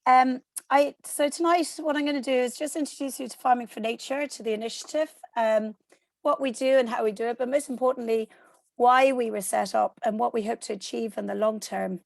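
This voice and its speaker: An Irish woman, hoarse from a cold, giving a steady online presentation over a video call and explaining with frequent ums. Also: fairly high pitch, brisk, dry recording. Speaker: guest speaker.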